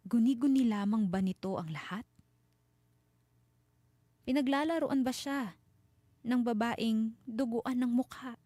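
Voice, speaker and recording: A woman storyteller giving a dramatic scripted horror narration with suspenseful, deliberate phrasing. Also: fairly high pitch, warm tone, no echo.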